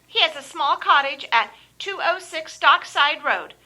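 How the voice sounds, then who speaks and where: An actress playing a polite, eager, proper receptionist in an old time radio mystery. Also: female voice, sits high, lively intonation, measured pace, sharp tone, exaggerated voice. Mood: polite, helpful.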